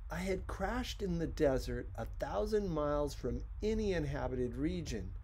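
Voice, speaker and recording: A man reading a storybook as an audiobook narrator, with dramatic, deliberate phrasing. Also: fairly high pitch, darkish tone, poor audio. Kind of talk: expressive scripted storybook narration.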